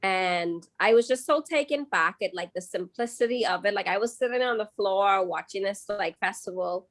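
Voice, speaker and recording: A young Bahamian woman answers an interview question in a loose, chatty way. She talks at a middle pitch, with plenty of fillers and restarts along the way. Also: moderate pace. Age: young adult. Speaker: guest artist.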